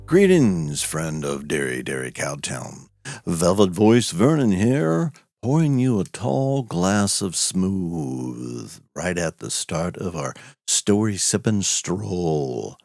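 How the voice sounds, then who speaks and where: A man voicing a smooth-talking male radio host of a small cow town, with a soft, velvety croon. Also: sits low, very animated, slow, no room sound, clean studio sound, pitched below the performer's own voice, full character voice. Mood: mellow.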